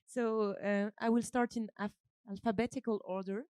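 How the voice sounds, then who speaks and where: Smooth-voiced woman moderating a panel. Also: mid-range voice, fairly even intonation, darkish tone, no room sound. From France. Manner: measured, with filler ums.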